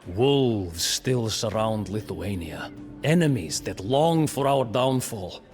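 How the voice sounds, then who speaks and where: A man's voice: fairly low pitch, lively intonation, takes it slowly, darkish tone, faint music behind. An actor playing a proud, bitter medieval Lithuanian prince who narrates his story theatrically in a video game cutscene. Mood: resolute. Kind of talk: dramatic scripted historical narration in character.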